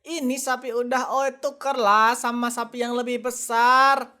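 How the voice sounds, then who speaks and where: A woman's voice: on the high side, little pitch movement, unhurried, sharp tone. A woman voicing a cheerful, friendly young man with quirky speech in a cartoon. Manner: friendly, chuckling.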